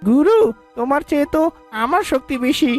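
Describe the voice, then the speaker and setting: A woman's voice: high voice, expressive, a little fast, soft background music. Woman acting a crafty, coaxing child vendor. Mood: sly. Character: clever small child mango seller, wheedling salesman. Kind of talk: cartoon moral story dialogue.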